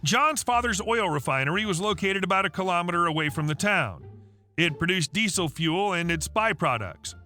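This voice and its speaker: A man narrating online stories in a steady, even read-aloud delivery. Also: high voice, normal speed, soft background music.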